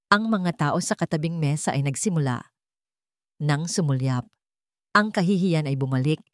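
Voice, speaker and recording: A woman telling a scripted story in steady, measured narration. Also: deep voice, no echo, clean studio sound.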